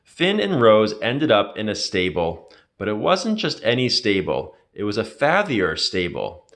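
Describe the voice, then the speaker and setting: Animated male storybook narrator. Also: on the low side, measured pace. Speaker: read-aloud narrator. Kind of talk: expressive children's storybook read-aloud.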